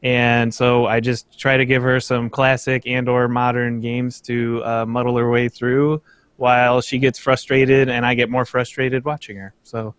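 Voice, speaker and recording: A young man talking casually as a guest, relaxed and halting, with many pauses and fillers. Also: mid-range voice, clean tone, dry recording. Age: young adult. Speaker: streamer and guest reader. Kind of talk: casual chat.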